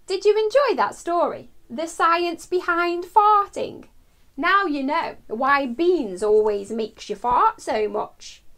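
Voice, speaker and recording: A young adult woman reading a children's book aloud as storytime host, expressive and deliberate, speaking to young children. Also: very high voice, no echo. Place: small furnished room indoors.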